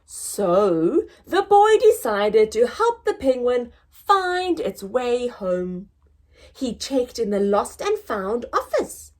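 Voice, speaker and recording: A woman reading a picture book aloud to young children as a story time host, expressive and deliberate, drawing out words. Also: a little high.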